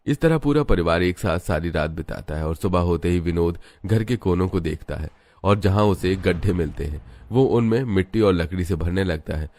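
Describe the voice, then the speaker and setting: A man's voice: low voice, darkish tone. A man giving scripted narration for a Hindi cartoon story.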